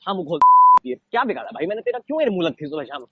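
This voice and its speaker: A man's voice: high-pitched, wildly swooping pitch, very fast, a little hiss or hum. A young man angrily scolding someone in a heated, confrontational argument on a recorded phone call. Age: young adult. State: angry.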